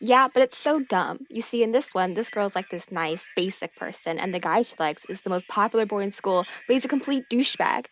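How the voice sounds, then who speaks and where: A woman's voice: medium pitch, expressive, brisk, hardly any pauses, a little hiss or hum, lightly acted. A woman playing a sarcastic, grumpy teenage girl in an audio drama, with a dry, irritated, slangy delivery. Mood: bored, dismissive. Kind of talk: naturalistic audio drama acting.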